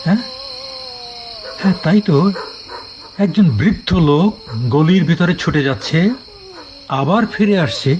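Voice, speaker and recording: A man playing a curious, concerned gentleman resident in an audio drama, speaking in an exclamatory, questioning way. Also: on the high side, measured pace, long pauses, highs cut off, natural voice.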